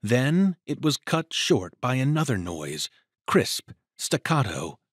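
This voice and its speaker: Male narrator, mid-pitched, very varied intonation, measured dramatic storytelling, very dry studio sound. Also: sing-song, husky, no echo.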